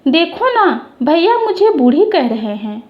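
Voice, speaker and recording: A woman as a cheeky, high-pitched younger sister. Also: big pitch swings, measured pace, muffled recording, exaggerated voice. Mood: protesting. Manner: teasing, protesting.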